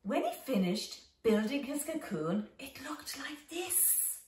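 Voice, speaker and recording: A middle-aged woman reading a children's story aloud as a teacher, with simple, deliberate phrasing and expressive emphasis. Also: high voice. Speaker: home teacher reading a picture book to young children. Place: small living room at home, indoors. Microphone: camera a few metres away. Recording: roomy echo, distant microphone.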